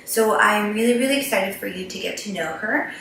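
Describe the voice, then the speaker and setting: A woman's voice: mid-range voice, fairly quick, creaky, a bit bright. A bubbly woman hosts a casual interview and gushes with thanks, often repeating words for emphasis. Her voice sits far from the mic in a heavily echoing room, with faint noise behind it. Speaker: host. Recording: very echoey, distant from the mic.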